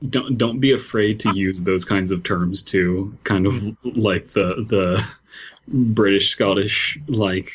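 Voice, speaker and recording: Male co-host, lowish pitch, unhurried casual talk, slightly muffled recording. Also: husky. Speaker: podcast co-host. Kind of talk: casual chat.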